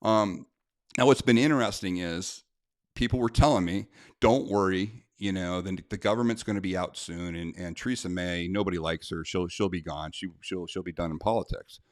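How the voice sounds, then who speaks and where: Brief American man, deep voice, indignant straight talk, close dry mic. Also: moderate pace, no room sound. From United States. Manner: blunt, indignant, conversational with many fillers. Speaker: self-defense instructor. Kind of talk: casual talk to camera, reading a letter aloud.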